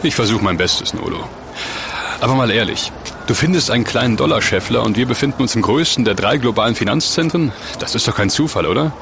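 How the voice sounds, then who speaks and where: A man's voice: mid-range voice, expressive, fast talker, creaky, noisy background. An actor playing an inquisitive, know-it-all young man who explains wryly in a radio drama. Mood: curious, explaining. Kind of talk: naturalistic audio-drama dialogue.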